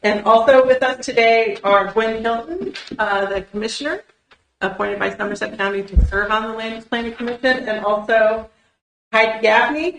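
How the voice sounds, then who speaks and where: Woman, middle pitch, gravelly, state planner, explanatory, roomy meeting-room sound. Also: a bit bright. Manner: organized, explanatory, with frequent "uh" fillers. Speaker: state land use planner presenting to county commissioners. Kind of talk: formal public meeting presentation. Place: county commissioners' meeting room. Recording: roomy public meeting recording.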